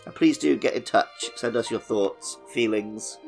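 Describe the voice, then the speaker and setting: A man's voice: lowish voice, measured pace, creaky. Casual male podcast host over music. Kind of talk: casual chat.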